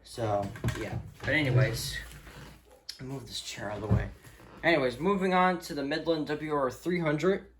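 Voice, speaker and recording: A man talking casually and off the cuff as a vlogger showing his collection at home, in a low-key, offhand way. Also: normal speed, background noise. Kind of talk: casual unscripted show-and-tell. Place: indoors, rooms at home.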